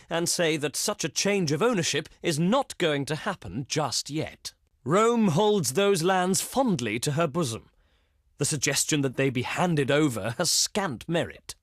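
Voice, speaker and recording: An actor playing a haughty, contemptuous ancient Roman diplomat in a strategy game, pompous and sneering, with formal oratorical delivery. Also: male voice, fairly high pitch, a bit bright, no echo, clean studio sound. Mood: indignant, dismissive. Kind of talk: theatrical scripted game voice acting.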